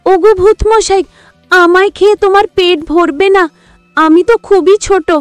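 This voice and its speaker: A woman puts on a timid little billy goat from a kids' cartoon. The voice is very high and dry, politely begging and wheedling to be spared. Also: steady tone, unhurried, no room sound, faint music behind. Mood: pleading. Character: small, timid young male goat pleading for his life. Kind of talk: children's cartoon animal acting.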